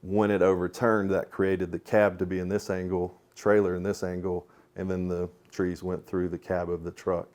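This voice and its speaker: A man with a low voice speaks as an instructor in a training talk. He is plain-spoken and methodical, laying out his explanation step by step. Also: flat delivery, normal speed, hardly any pauses, a little mellow. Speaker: police sergeant and crash investigator, instructor.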